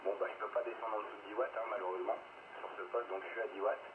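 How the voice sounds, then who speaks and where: A man with a mid-range voice talks casually as a radio operator over a two-way link. His transmission is narrow and lo-fi, with clear noise and a strong echo. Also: fairly low pitch, steady tone, very fast. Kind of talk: casual radio group exchange.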